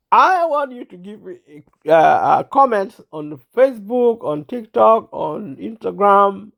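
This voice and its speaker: Gravelly high-voiced older man, halting. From Uganda. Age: 60s. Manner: halting, many fillers and restarts. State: unwell, clears throat. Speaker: channel host. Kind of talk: casual talk to camera.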